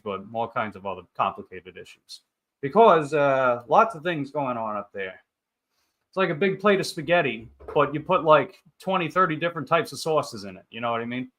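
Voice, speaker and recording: A man's voice: medium pitch, sharp tone. A thirty-year-old man hosting a casual livestream, rambling along in upbeat banter as he chats with viewers. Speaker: livestream host. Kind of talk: casual livestream chat, reading and answering viewer comments.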